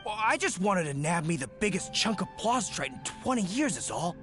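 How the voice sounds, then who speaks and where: A bumbling young crook for a video game. He rambles sheepishly in a folksy way, with a very lively pitch and music behind him. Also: fairly quick. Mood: defensive, earnest. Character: bumbling greenhorn young male petty thief, sheepish.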